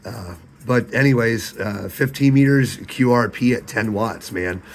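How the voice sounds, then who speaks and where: A man with a hoarse, sick voice, casually chatting about his ham radio setup at night. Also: medium pitch, measured pace. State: hoarse, losing his voice from illness. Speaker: ham radio video host. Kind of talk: casual vlog narration with radio contacts. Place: home radio shack at night.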